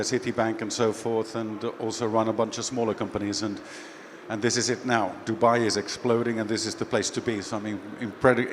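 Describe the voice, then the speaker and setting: A man's voice: fairly low pitch, slight background noise. A middle-aged man from Finland with a light Finnish accent, speaking casually as a CEO on a conference panel. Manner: relaxed, conversational, with fillers. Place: expo stage.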